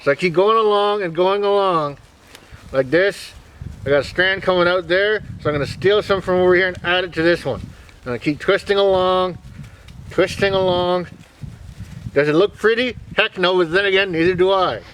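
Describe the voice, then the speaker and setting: A man talking with cord held in his teeth, so his voice comes out nasal and muffled with a lisp, louder and higher than usual. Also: normal speed, a little hiss or hum.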